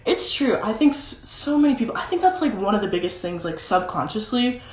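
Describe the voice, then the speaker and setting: Young woman, deeper voice, casual coaching chat, filler-heavy, echoey room. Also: animated, brisk, darkish tone. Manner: chatty, many "like" fillers. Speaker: social media content coach. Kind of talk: casual livestream talk. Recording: roomy echo.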